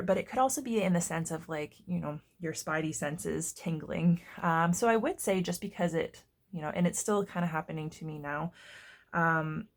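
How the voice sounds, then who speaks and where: A woman giving a casual tarot reading to her viewers, congested and hoarse, chatty and often stopping to search for words. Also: on the low side. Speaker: tarot reader.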